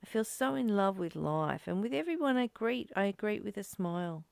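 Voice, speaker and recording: A woman giving a spiritual reading and reflection as a teacher, in a deliberate, measured way with emphatic pauses. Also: medium pitch, lively intonation, gravelly, darkish tone, clean tone, no echo. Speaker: study group teacher reading and commenting.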